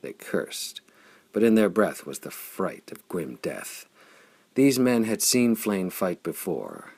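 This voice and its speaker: Man, dramatic audiobook narration, gravelly, very deep, intense pulp adventure storytelling. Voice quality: gravelly, husky.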